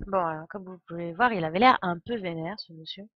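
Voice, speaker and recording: A woman's voice: lowish voice, fairly quick, slight background noise. A woman giving casual, joking, irreverent live commentary as a game streamer. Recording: dull, lo-fi microphone sound.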